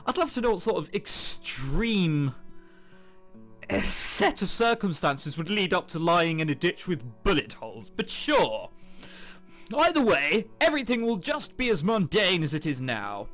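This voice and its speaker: A man's voice: sits high, big pitch swings, measured pace, a bit bright. A man giving casual, jokey gaming commentary with sarcastic asides, switching between reading game text aloud and his own remarks. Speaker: let's player. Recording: music behind the voice. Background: game background music.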